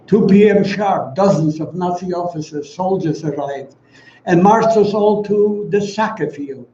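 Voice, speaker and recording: A man of about ninety with a Polish accent gives a prepared commemorative speech in a solemn, deliberate, formal way, with emphatic pauses. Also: sits high, mellow. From Poland. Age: around 90. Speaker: guest speaker, Holocaust survivor giving testimony. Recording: echoey, dull, distant, faint noise.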